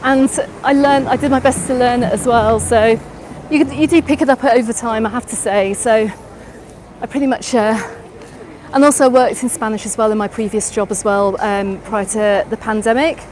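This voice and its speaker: A woman's voice: fairly high pitch, steady tone, normal speed, darkish tone. A middle-aged English woman with an English accent, giving a live walking tour and answering viewers' questions as she goes. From England. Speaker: travel vlogger. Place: outdoors on a busy beach promenade at dusk. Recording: outdoor live stream with crowd noise. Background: crowd chatter and passing traffic.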